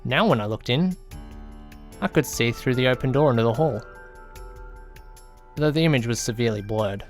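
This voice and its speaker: A man's voice: mid-range voice, animated, normal speed. A man reading a scripted first-person story as an audiobook narrator. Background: background music.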